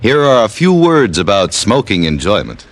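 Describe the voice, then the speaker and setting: Male commercial announcer from 1950s radio, emphatic, low voice with a lively pitch. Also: moderate pace. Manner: emphatic, persuasive.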